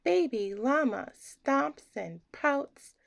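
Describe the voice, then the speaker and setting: A woman with a fairly high voice reads a children's bedtime story aloud. Her delivery is very slow and lively, swinging widely in pitch as she acts out the feelings in the words. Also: gravelly. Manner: theatrical, acts out emotions in the words. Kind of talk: expressive bedtime read-aloud.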